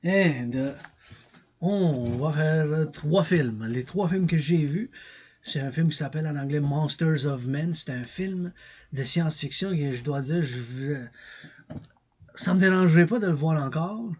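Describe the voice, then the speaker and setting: A middle-aged man with a Québécois French accent, his voice a little husky. He drifts from point to point in a loose, casual film review, stopping to repeat words and fill pauses. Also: a little high, darkish tone. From Quebec. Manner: digressive, repeats words, many fillers. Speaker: vlogger reviewing films.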